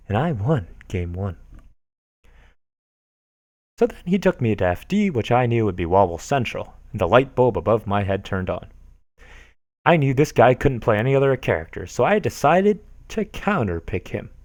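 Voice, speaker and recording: Male streamer reading forum posts, animated delivery, dry recording. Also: mid-range voice, moderate pace, pauses often, no echo. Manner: animated, sarcastic, laughs at what he reads.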